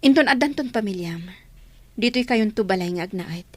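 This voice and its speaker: A woman's voice: mid-range voice, lively intonation, moderate pace, no echo, close to an everyday voice. A woman with an Ilocano accent playing an earnest young bride and devoted sister in a radio drama. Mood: tender, pleading.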